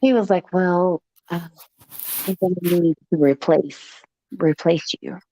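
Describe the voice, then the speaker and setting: A woman's voice: fairly low pitch, a little slow, dark tone, slight background noise. A woman hosting a live stream, telling a personal story in a chatty, joking way, with frequent "okay" asides. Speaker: host. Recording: dull lo-fi stream audio.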